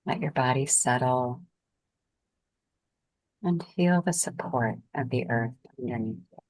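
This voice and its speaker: A woman leading a guided meditation as its guide, speaking calmly and soothingly in gentle, unhurried phrases with pauses. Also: very deep voice, no echo, poor audio.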